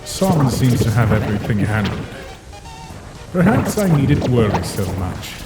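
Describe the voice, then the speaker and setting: Man voicing a solemn, slow-speaking skeleton father. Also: medium pitch, sing-song, reverberant, loud music behind the voice. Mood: relieved. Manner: measured, formal, tender. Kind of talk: animated comic dub acting.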